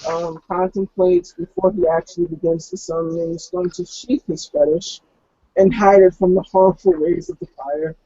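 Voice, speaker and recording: A man's voice: high-pitched, lively intonation, normal speed, gravelly, mellow, highs cut off. A man playing a tabletop roleplaying game, chatting casually and describing what his character does.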